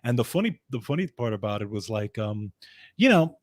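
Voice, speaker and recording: A man's voice: mid-range voice, very animated, fairly quick, dry recording, studio quality. A middle-aged man co-hosting a show, chatting casually and reminiscing. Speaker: co-host and drummer.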